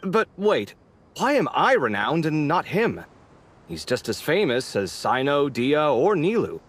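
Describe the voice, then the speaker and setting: A dramatic, indignant young architect. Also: male voice, a little high, sing-song, measured pace. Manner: dramatic, exasperated. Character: proud young male architect, theatrical and indignant. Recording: game audio with faint music.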